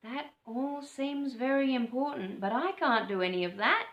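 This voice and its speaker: Woman reading as a glum, plaintive big bird, high and up-and-down, slow, storybook in an echoey room. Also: lively intonation, highs cut off. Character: big clumsy flightless male bird, glum.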